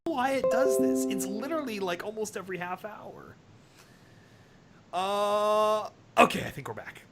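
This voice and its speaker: A man hosting a livestream, reading a comic story aloud in an animated, dramatic way with casual asides. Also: high voice, a little slow, loud music behind the voice. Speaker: livestream host.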